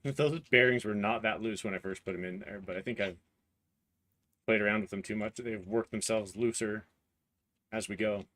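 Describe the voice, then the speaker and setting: A man in his thirties with a hoarse, lowish voice talks through a hands-on build on a live stream. He halts, searches for words and pokes fun at his own slips. His voice catches now and then, and he keeps clearing his throat. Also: fairly bright tone. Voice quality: voice cutting out, clears throat often. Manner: halting, searching for words, self-deprecating. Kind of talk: live build stream, casual talk while working.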